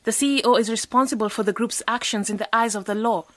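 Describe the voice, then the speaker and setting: A woman's voice: a little high, fairly even intonation, fast, a bit bright, dry recording, natural voice. An actress playing a principled, businesslike woman in a radio drama, direct and questioning. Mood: firm. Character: principled, businesslike female cooperative founder.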